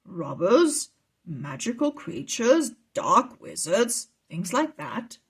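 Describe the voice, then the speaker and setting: A woman voicing a calm, kindly male wizard mentor who explains things in a measured way for a storybook. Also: mid-range voice, husky, heavily acted. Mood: serious, reassuring.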